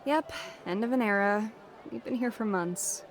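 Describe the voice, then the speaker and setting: A woman playing a brisk, practical female companion in a fantasy audio drama. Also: a little high, faint music behind, lightly acted. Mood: matter-of-fact.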